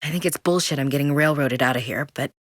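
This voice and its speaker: A young American woman marine in a cinematic sci-fi game. Her voice sits low for a woman, in a dry, close recording with no room sound. She speaks with blunt military directness, candid and wry. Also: fast talker, no room sound. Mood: wry, frank. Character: tough, candid young female space marine. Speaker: video game voice actor.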